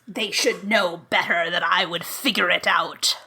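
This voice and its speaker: A woman's voice: fairly low pitch, slightly rough. A woman playing as guest in a tabletop game, chatting casually and eagerly working through clues aloud. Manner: eager, quick to laugh, reasons aloud excitedly. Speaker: guest player.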